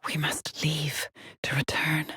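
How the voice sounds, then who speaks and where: A weary, haunted woman astronaut from a video game. Her voice is low and very dry, close and without room sound. She speaks in clipped, terse phrases with a brooding edge. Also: lively intonation, a little slow, husky, no room sound, full character voice. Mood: eerie whisper. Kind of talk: scripted game character voice lines.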